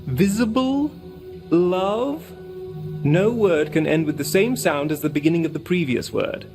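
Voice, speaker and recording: An actor playing a smug, teasing elf in a video game, explaining things in a crisp, superior way. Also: mid-range voice, animated, measured pace, music in the background. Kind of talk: video game character acting.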